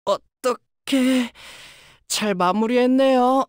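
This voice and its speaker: An actor playing a timid, polite young male fighter in a video game, hesitant and soft-spoken but capable of cold focus. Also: medium pitch, takes it slowly, smooth, no room sound, studio quality, close to an everyday voice. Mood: flustered, anxious. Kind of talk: Korean video game character voice lines.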